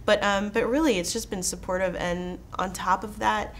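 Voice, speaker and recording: A woman's voice: medium pitch, fairly even intonation, moderate pace, fairly bright tone, slight background noise. An enthusiastic American woman from Texas, a documentary filmmaker talking conversationally as a festival interview guest. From Texas, United States.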